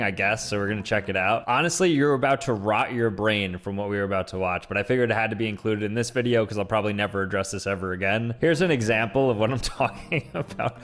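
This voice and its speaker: A young man hosting a casual gaming commentary, ranting in exasperation, with his voice jumping high when he is outraged. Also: a bit bright. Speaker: YouTube host.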